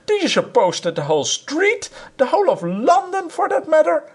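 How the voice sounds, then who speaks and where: A man voicing a small, furious wife who scolds her husband in shrill, tearful screams. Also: huge pitch swings, moderate pace, exaggerated voice. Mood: furious scolding. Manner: screaming, wailing, near tears. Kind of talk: audiobook character reading.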